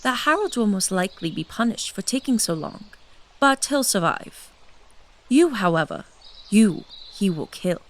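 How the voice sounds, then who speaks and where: A woman's voice: mid-range voice, sing-song, normal speed. A woman voicing an ancient, seductive and domineering blood-drinking noblewoman in a fantasy audio drama. She speaks in a silky, confident, commanding way. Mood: scheming. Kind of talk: full cast audio drama acting.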